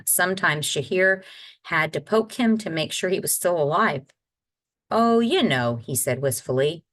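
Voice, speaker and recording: A woman reading a children's novel aloud as a guest storyteller, in scripted narration. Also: low-pitched. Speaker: guest reader.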